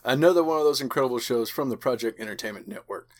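A man's voice: medium pitch, fast. A man hosting a podcast in a chatty, enthusiastic way. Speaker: podcast host.

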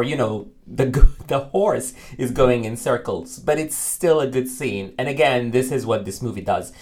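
Chatty opinionated man discussing films. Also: mid-range voice. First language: Arabic. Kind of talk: casual film discussion.

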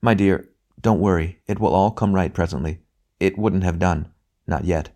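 A man voicing a bright spirit, a brother who speaks gently and patiently in a calm, measured way. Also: low-pitched, lightly acted. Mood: gentle, patient.

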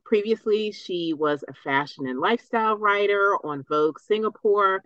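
A woman's voice: low voice, a bit bright, no room sound, low-quality recording. A woman podcast host introducing a show in a conversational, appreciative way. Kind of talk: podcast introduction, chatty with some reading from notes.

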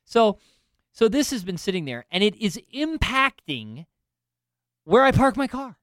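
A man's voice: high-pitched, very animated, unhurried, a bit bright, no echo, studio quality. A man in finance telling a self-deprecating story in casual chat, with lots of restarts and asides. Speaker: finance professional.

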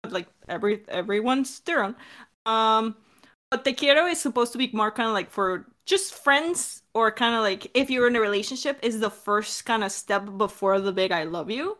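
A woman's voice: on the high side, expressive, moderate pace, a bit bright. A woman from Mexico with an American accent, explaining things informally as a creator in a Q&A, hesitating and correcting herself. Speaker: audio drama creator in a Q&A. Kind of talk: casual chat, explaining.

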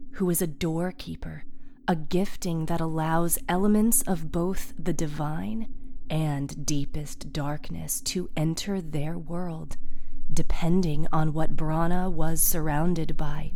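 A woman narrating a fiction podcast in a measured, suspenseful, dramatic storytelling style. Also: low voice, warm tone, a little hiss or hum.

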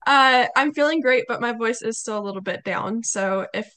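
A young woman hosting a podcast interview, her voice slightly hoarse, chatting casually with many fillers. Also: on the high side, moderate pace. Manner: chatty, warm hesitations with fillers. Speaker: podcast host.

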